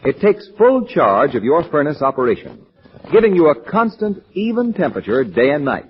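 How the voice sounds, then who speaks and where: A male announcer reads a 1940s radio commercial with polished emphasis. His voice is fairly high and very lively in pitch. The sound is dull, like an old broadcast. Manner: emphatic, polished sales delivery. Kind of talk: 1940s radio advertisement, scripted.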